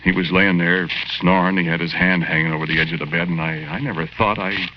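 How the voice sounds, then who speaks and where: Man playing a low-voiced, folksy ghost on old radio. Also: fairly even intonation, a little fast, a little hiss or hum, close to an everyday voice. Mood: chatty, sheepish. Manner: folksy, ungrammatical, apologetic, rambling storyteller. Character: ghost of a murdered small-time guy, naive, sheepish, friendly. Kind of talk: 1940s radio drama acting, first-person narration. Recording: dull old 1940s radio recording with music.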